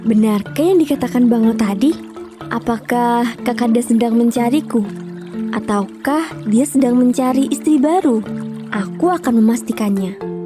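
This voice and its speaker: A woman voicing a gentle but resolute young princess in a children's animated folktale. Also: a little high, moderate pace, over music, lightly acted. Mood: wondering.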